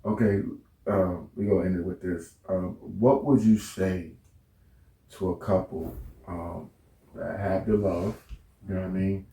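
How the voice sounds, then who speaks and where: Low-voiced American man hosting, distant and echoey. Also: steady tone, measured pace, dark tone. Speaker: interview host. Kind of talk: casual chat, prompting and agreeing. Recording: echoey room, distant from mic.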